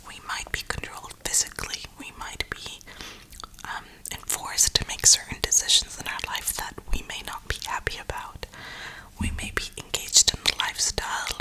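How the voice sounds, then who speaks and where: A woman host giving a slangy, reflective ASMR ramble in a breathy whisper. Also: pushed and bright, rough recording. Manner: slangy, reflective, unhurried pauses. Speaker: ASMR gaming video host.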